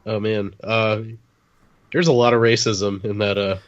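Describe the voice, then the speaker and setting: A man's voice: lowish voice, level tone, unhurried, no echo. A man hosting a podcast in casual conversation, recorded dry with a dull, lo-fi sound. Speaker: podcast host. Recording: dull lo-fi close dry mic.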